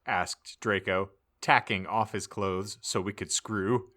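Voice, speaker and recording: Male podcast narrator, low and forceful, theatrical mock-serious delivery for comic audiobook reading. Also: fairly even intonation, takes it slowly. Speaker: podcast host reading aloud.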